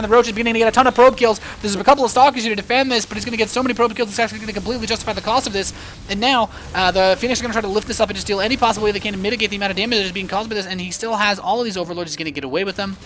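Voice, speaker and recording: A man giving live, animated and joking play-by-play commentary on a video game match as an esports caster. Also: high-pitched, slight background noise.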